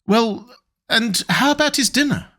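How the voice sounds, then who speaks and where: A fretful old servant woman, put on by a male narrator, her voice climbing high and pleading as she frets. She sounds anxious and quick to despair, straight from a classic storybook. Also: expressive, a bit bright, full character voice. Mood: dismayed.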